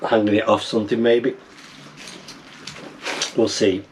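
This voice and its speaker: Middle-aged man, lowish voice, unhurried pace, halting casual vlog chat, thinking out loud. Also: flat delivery, a little hiss or hum. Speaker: amateur radio vlogger. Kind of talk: casual vlog chat while tinkering and unboxing. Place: small wooden cabin, indoors.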